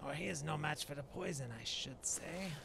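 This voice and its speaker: A gravelly, slightly high-pitched Dark Elf shaman, played by a man narrating his own game adventure. He is genteel and mild, musing aloud with archaic turns of phrase and frequent hesitation. The recording has clear background noise. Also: moderate pace. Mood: excited, battle-ready. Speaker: gamer roleplaying in a video. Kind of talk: in-character improvised gameplay narration.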